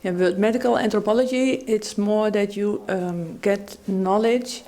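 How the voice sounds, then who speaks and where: Mellow-voiced woman panel guest. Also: medium pitch. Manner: thoughtful, many fillers and pauses. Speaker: panel guest, medical anthropologist. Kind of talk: studio talk show discussion. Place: TV studio.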